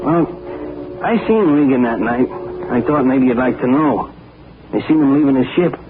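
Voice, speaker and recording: An actor playing a shifty, streetwise dockside lowlife in a 1940s radio drama, talking in ungrammatical slang. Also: male voice, normal speed, lightly acted. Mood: sly. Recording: scratchy, tinny 1940s radio broadcast.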